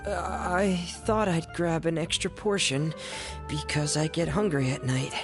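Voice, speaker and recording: An actor playing a shy, withdrawn, earnest teenage boy in a video game, speaking in a hesitant, halting way. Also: medium pitch, fairly even intonation, close to an everyday voice. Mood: nervous. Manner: hesitant, halting, soft-spoken. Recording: music behind the voice.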